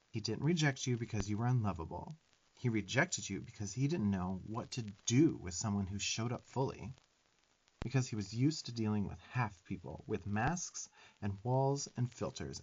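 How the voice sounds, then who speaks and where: A sarcastic little demon twink, played by a man, bosses the listener around with affection in an ASMR roleplay. His mid-pitched young voice swings up and down with sassy emphasis and blunt swearing. The sound is a little dull. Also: husky, full character voice. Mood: scornful, protective. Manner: sassy, emphatic, profane tough-love delivery.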